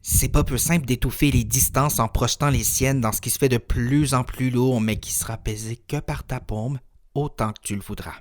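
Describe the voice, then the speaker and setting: A man reciting his own spoken-word poetry in a contemplative, literary way, in short measured phrases. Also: medium pitch, steady tone. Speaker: poet reciting own work.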